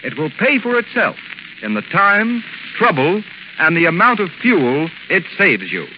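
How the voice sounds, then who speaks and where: Male radio pitchman, highish lively voice, formal emphatic delivery, noisy old broadcast. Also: measured pace, muffled recording. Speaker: radio announcer and sponsor spokesman. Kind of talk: scripted 1930s radio advertising.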